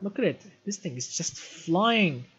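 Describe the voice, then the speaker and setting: A dull, noisy recording of a man with a Syrian Arabic accent hosting a live gaming stream. His voice sits a little high for a man. He talks casually and with enthusiasm, often doubling back on his phrases. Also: big pitch swings, a little slow, a bit bright. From Damascus, Syria. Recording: muffled lo-fi audio, clear background noise.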